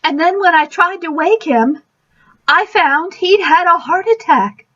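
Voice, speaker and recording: A genteel, flustered hostess acted by a woman in a radio play. Her voice is high and slow, with fluttery hesitation. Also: lively intonation, a bit bright, highs cut off, heavily acted. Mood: shaken, hesitant. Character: flustered, genteel housewife hostess at dinner party. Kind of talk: radio mystery acting.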